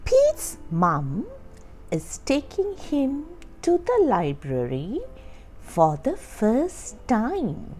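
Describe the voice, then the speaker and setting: A woman's voice: a little high, wildly swooping pitch, very slow, darkish tone, slight background noise. A woman reading a children's storybook aloud, telling it expressively and stressing key words for young listeners. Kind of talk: scripted read-aloud narration for young children. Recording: music under the voice. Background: background music.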